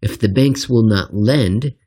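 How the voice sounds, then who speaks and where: A man reading a scripted financial essay aloud with emphatic, deliberate phrasing and a wry edge. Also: on the low side, dark tone, breathy edge.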